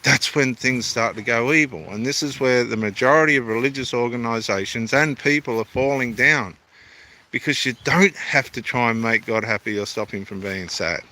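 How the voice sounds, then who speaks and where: A man's voice: mid-range voice, moderate pace, sharp tone. A middle-aged Australian man, an evangelist and theologian, talking casually and meanderingly to camera at a building site by the water. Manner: meandering, self-deprecating, homely. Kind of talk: casual rambling talk to camera.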